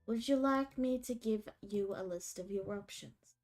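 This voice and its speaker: A woman voicing a weary man who speaks slowly and deliberately as he offers paid work. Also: mid-range voice, a little mellow, faint music behind, close to an everyday voice. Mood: measured.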